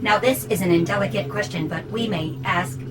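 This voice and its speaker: A woman's voice: medium pitch, a little fast, lightly acted. A woman playing a polite, coldly logical servant robot in an audio drama, speaking formally and precisely. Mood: politely menacing. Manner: formal, precise, matter-of-fact. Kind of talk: scripted audio drama acting. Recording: music behind the voice.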